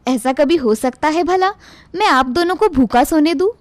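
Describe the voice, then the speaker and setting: Woman playing a high-pitched, meek daughter-in-law. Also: moderate pace, no echo, lightly acted. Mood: grateful. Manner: polite, deferential. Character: dutiful, meek young Indian daughter-in-law. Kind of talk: animated Hindi moral story dialogue.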